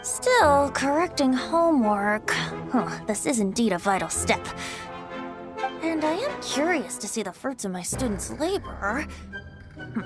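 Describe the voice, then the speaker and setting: A woman's voice: high voice, very animated, unhurried, music in the background. A woman voicing a mischievous young male bard in a video game, theatrical, flowery and teasing. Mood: gleeful, teasing. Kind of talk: animated video game voice acting.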